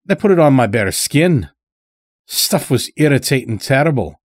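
A man voicing a stout, sly old farmer in an audiobook story, with a folksy drawl and unhurried, dragged-out words. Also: mid-range voice, big pitch swings, no echo, studio quality, voice pushed up. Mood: folksy, placating.

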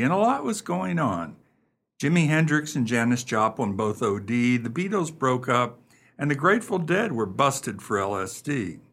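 A man hosting a radio theater show, delivering a scripted introduction and storytelling narration in a husky voice. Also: mid-range voice, a bit bright. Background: music.

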